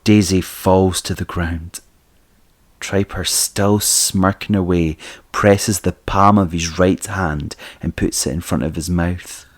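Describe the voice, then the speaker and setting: Deep-voiced male audio drama narrator. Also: fairly even intonation, slow. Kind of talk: scripted present-tense story narration.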